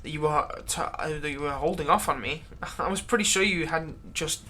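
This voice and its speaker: A woman plays a vampire's long-suffering human thrall in an audio roleplay. The voice is on the low side for a woman, conversational and halting, with a sardonic, exasperated edge. There is some noise behind it. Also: pushed and bright. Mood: wounded, menacing. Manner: conversational, halting, sardonic, emphatic when aggrieved. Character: long-serving human thrall, exasperated, pleading, turning menacing toward vampire master. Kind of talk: dramatic ASMR roleplay monologue.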